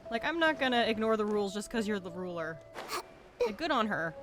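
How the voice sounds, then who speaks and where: A woman's voice: mid-range voice, big pitch swings, a little fast, background noise. A young adult woman streaming a video game, chatting casually and reacting aloud. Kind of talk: casual live commentary over gameplay. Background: game audio.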